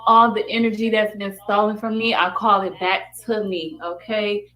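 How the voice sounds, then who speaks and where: A woman's voice: mid-range voice, steady tone, moderate pace, a bit bright. A woman chatting casually and bluntly on a live stream, swearing and repeating herself for emphasis.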